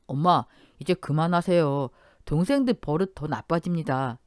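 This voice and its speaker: A woman's voice: deep voice, normal speed, a little mellow, no echo, studio quality, lightly acted. A woman voicing a stern husband and eldest son who speaks firmly and coldly in an audio drama. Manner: firm, cold.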